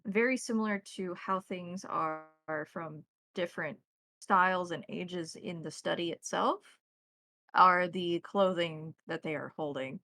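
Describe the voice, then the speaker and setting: Female game master, deliberate descriptive narration, noticeable vocal fry, dry close recording. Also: lowish voice, pushed and bright, dry recording.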